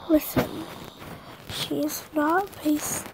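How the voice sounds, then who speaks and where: A young girl who is sick and losing her voice chats haltingly while showing a drawing on her phone at home. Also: high voice, fairly even intonation, noisy background. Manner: rambling, halting, with throat clearing. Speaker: drawing app vlogger. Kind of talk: casual screen-recorded chat. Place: bedroom at home, brother snoring nearby.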